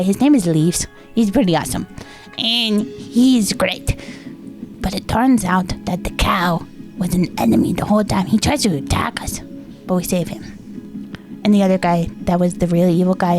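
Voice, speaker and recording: A woman voicing a small, childlike, excitable storyteller in a tabletop game, rambling bluntly and getting flustered, with music playing behind. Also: fairly low pitch, fairly quick, close to an everyday voice. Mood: eager, flustered.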